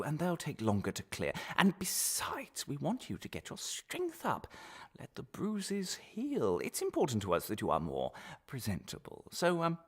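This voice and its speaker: Man playing a softly menacing official, wildly swooping pitch. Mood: falsely reassuring. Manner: measured, hesitant pauses, veiled threats. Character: smooth, menacing male official interrogator, falsely reassuring. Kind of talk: radio drama monologue.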